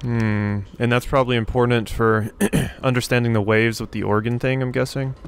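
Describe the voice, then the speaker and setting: Rambling male gamer, medium pitch. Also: fairly even intonation, normal speed. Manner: rambling, repeats phrases, thinking aloud. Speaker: gaming YouTuber, let's player. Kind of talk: casual video game commentary. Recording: faint game ambience noise. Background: game ambience.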